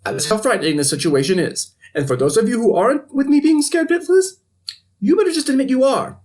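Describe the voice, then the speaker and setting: A man's voice: very high-pitched, lively intonation, fairly quick, darkish tone. A man playing a flippant TV news anchor in an audio drama, reading reports with wry, editorializing asides. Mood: alarmed, sardonic. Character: flippant male TV evening news anchor covering a zombie outbreak.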